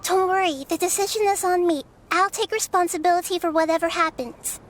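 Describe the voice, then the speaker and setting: A woman voicing a wise, caring young girl goddess who speaks calmly and gently in a video game. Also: extremely high pitch, brisk. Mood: gentle. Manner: calm, gentle, reassuring. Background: game music.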